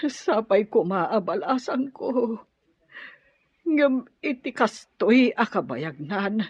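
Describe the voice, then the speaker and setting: A woman's voice: fairly high pitch, sing-song, slow pace, a little mellow, husky, no echo, full character voice. A woman playing an anguished middle-aged mother in a radio drama, plaintive and given to exclaiming over her missing son. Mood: sorrowful. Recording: dull lo-fi radio drama audio.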